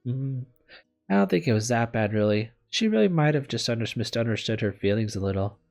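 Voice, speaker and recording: A man's voice: mid-range voice, expressive, a little fast, vocal fry, darkish tone, faint music behind. A man voicing a small, weary, caring woman teacher from a visual novel, subdued and reflective.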